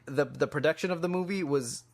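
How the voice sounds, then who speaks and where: A man with a fairly high voice talks as a podcast co-host. He tells anecdotes conversationally, in an informal and emphatic style. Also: fairly quick. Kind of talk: casual conversational storytelling.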